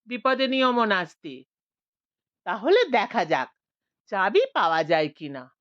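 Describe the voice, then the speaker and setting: A woman's voice: medium pitch, very animated, measured pace, a bit bright, dry recording, lo-fi sound. A woman voicing a brusque, authoritative older man, a retired village police officer, in a Bengali audio story.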